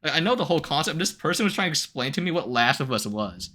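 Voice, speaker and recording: A male live streamer with a bright, slightly high voice talks off the cuff to his viewers. His pitch moves around a lot as he rants in an incredulous, loose and sweary style. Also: fast talker. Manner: animated, incredulous, heavy casual swearing; laughs often between remarks. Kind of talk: casual livestream chat, ranting to viewers.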